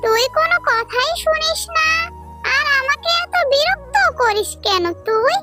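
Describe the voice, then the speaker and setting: Cartoon kid, played by a voice performer: bossy, dismissive, extremely high and slow in delivery. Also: over music. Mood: annoyed scolding. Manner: scolding, dismissive. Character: bossy, dismissive child with new toys. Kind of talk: children's cartoon dialogue.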